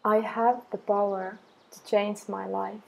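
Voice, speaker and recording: Female meditation guide. Very slow, even delivery with a creaky edge, soothing and measured. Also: medium pitch, low-quality recording. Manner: soothing, measured, deliberate phrases with long pauses.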